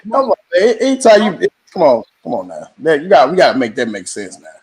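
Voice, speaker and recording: A man guests on a casual talk show, laid-back and teasing. His voice sits a touch high. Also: wildly swooping pitch, fast, a little mellow. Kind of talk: casual chat.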